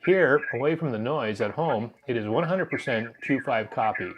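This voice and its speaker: A man narrates a personal amateur radio story from a script. His voice sits at a medium pitch, with the delivery of a hobbyist presenting his own video. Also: lively intonation, moderate pace, dull audio, noisy background.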